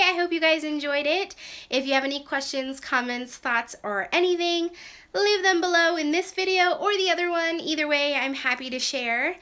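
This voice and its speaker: A woman's voice: sits high, sharp tone. A young woman with a Canadian accent, chatting casually to camera as a craft vlogger in her small bedroom craft room, with lots of "like" and "um".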